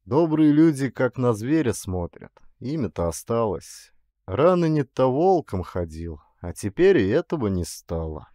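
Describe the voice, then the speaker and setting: A man voicing a weary, gloomy former bandit in an audiobook, frank and resigned, with calm, folksy peasant speech. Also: medium pitch, a little slow, dry recording, clean studio sound. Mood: sad, resigned.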